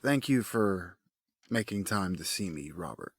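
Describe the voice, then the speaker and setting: A man's voice: low-pitched, animated, a little slow, slightly rough, no echo, full character voice. A man playing a grieving, bitter, brooding figure in an audio drama, grave and intense in delivery. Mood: subdued.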